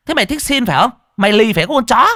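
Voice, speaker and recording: A man's voice: huge pitch swings, fairly quick, fairly bright tone, breathy edge, no room sound, clean studio sound, full character voice. A man voicing a fat, foul-mouthed, furious woman who runs a rice eatery, scolding and cursing in barked questions. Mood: cursing angrily. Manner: shrill cursing, scolding, barking rhetorical questions.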